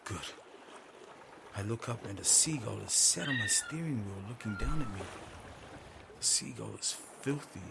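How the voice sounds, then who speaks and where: A man's voice: fairly low pitch, animated, normal speed, vocal fry, pauses often. Male storyteller over heavy background noise. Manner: theatrical, present-tense storytelling. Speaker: storyteller, voice performer. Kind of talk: dramatic first-person narration of an audio story.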